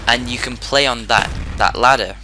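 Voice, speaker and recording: A man with a hoarse, sore-throated voice giving casual commentary over his own gameplay, rambling and often restarting his sentences. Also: mid-range voice, normal speed, noisy background. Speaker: gaming commentator.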